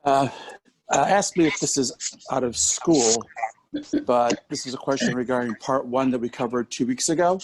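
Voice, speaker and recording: A man's voice: medium pitch, moderate pace, poor audio. A man taking part in an online class, asking casually in a polite, tentative way over a video call. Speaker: discussion participant asking a question.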